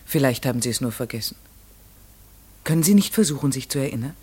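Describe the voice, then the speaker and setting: A polite, methodical man in a classic radio drama. His medium-pitched voice is careful and articulate, with a curious, tentative courtesy. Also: animated, fast, darkish tone, slightly rough. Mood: curious, probing. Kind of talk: classic German radio play, narration and dialogue.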